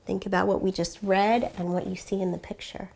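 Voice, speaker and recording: A woman teacher reading a children's story aloud in an expressive, deliberate way, with hushed asides and questions for young listeners. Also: mid-range voice, gravelly.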